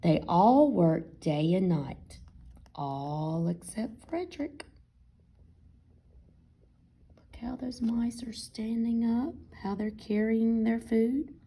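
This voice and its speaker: A woman reading a picture book aloud as a teacher, expressively, pausing to question and explain to young listeners. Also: fairly high pitch, warm tone, slightly rough.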